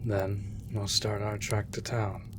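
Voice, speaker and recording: A man playing a stoic, protective monster hunter in an intimate comfort audio drama, speaking in a hushed, breathy, reassuring way. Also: fairly low pitch, flat delivery, takes it slowly, noisy background. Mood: calm, kindly. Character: gruff, protective male monster hunter, stoic but gentle. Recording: loud rain and cave ambience. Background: rain in a cave.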